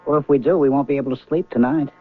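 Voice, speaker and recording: An actor playing an earnest, disillusioned, restless man in 1970s radio drama, speaking theatrically and emphatically with halting pauses. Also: mid-range voice, fairly even intonation, soft background music, lightly acted. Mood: restless, weary. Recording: thin phone-like old radio recording, noisy.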